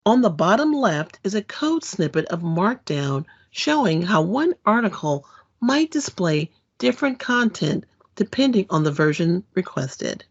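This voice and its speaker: A woman giving a scripted technical presentation in an explanatory, clearly phrased, read-out manner. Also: medium pitch, sing-song, a little slow, dull audio. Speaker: presenter, content developer.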